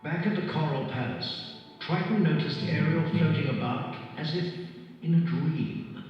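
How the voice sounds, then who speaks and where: A man's voice: fairly high pitch, measured pace. Echoey male storybook narrator. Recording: echoey, distant, dull sound under music.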